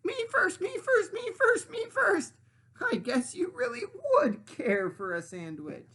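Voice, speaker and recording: A man's voice: extremely high pitch, big pitch swings, sharp tone, pitched above the performer's own voice, exaggerated voice. A man voicing a small, sly female sand witch in a storybook, cackling and stretching out her words as she calls. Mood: mocking. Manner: cackling, drawn-out calling.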